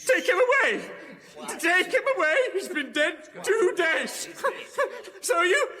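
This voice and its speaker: An actor playing a nerve-shattered upper-class English ex-soldier, stammering and self-pitying, in a radio drama. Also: male voice, very high voice, big pitch swings, unhurried, pushed and bright, exaggerated voice. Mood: hysterical. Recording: reverberant hall-like room.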